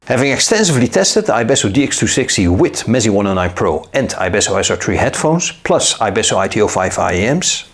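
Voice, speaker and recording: A man's voice: lowish voice, sing-song, fast, hardly any pauses, faint music behind. A man reading a scripted tech product review in a polished, formal, promotional way.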